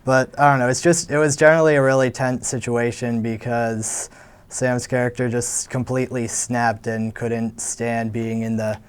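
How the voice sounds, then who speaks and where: A teenage male student actor answering interview questions in a measured, explanatory way. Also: mid-range voice, steady tone, smooth.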